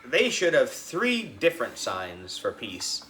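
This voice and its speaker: A man narrating a children's book in an animated storytelling style. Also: medium pitch, takes it slowly, reverberant, faint music behind. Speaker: audiobook reader.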